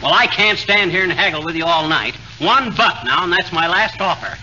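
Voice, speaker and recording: Folksy american blowhard husband, old radio comedy, high voice all over the place, muffled. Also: male voice, animated, moderate pace, bright, forceful tone. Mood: flustered. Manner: folksy, blustering, slangy. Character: blustery, boastful, easily flustered husband hosting friends. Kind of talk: 1940s radio comedy acting. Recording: noisy, muffled 1940 radio broadcast.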